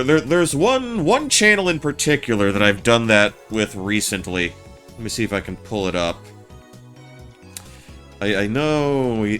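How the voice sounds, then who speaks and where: A man streaming a game, chatting casually in a naturally low voice, often stammering and restarting his sentences. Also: fairly bright tone. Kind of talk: casual let's-play commentary. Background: game music.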